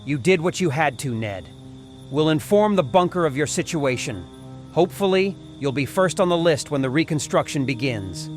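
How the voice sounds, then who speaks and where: Guy playing a bossy army sergeant, higher-ish voice, really animated, faint music under it. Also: moderate pace, natural voice. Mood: probing, measured. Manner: terse, authoritative. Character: gruff, commanding army sergeant leading survivors. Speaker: voice actor. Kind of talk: audio comic dub, dramatic dialogue.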